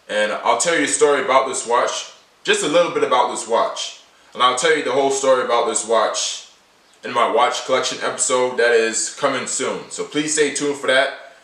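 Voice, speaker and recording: A nineteen-year-old man talks to camera in a casual, rambling way, circling back to the same points again and again. His voice is bright and sits at a middle pitch. He sounds a little distant from the mic, and the small room gives an echoey ring. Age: late teens. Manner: repetitive, rambling, restates points often. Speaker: vlogger showing his everyday carry.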